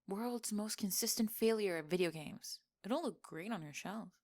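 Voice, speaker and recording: A woman's voice: mid-range voice, moderate pace, natural voice. Woman acting sarcastic, teasing young tomboy friend. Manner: sarcastic, teasing, expressive with sighs and laughs. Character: teasing, sarcastic college-age tomboy gamer friend, flustered underneath. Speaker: ASMR roleplay voice actor. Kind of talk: acted ASMR roleplay, one-sided conversation.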